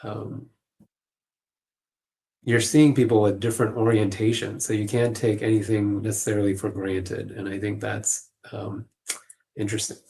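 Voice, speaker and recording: A man with a somewhat low voice gives an informal expert explanation. He is careful and a little hesitant, searching for the right words as a guest clinician. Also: little pitch movement, normal speed, gravelly, pauses often, darkish tone. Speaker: clinical social worker, panel guest.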